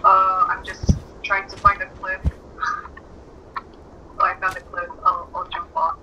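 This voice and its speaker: A high-pitched man talks casually as he plays a video game, thinking aloud. His voice comes through dull and lo-fi. There is clear noise behind him. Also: monotone, a little slow. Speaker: player in a family multiplayer game. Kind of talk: casual gameplay chat.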